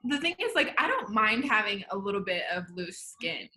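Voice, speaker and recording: A young woman in her late twenties talks as a podcast guest with a bright, forceful tone. She rambles through her thoughts in casual chat, filling gaps with "like" and "you know". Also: mid-range voice, big pitch swings, normal speed. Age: 20s. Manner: rambling, heavy use of "like".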